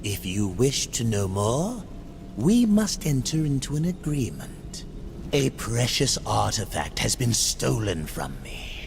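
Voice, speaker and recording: Slow, swooping, scheming demon merchant. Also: male voice, medium pitch, sing-song, gravelly, slight background noise, full character voice. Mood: sly bargaining. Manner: slow, drawn-out, insinuating. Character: sly, scheming demon merchant, oily and theatrical. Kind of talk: video game cutscene acting.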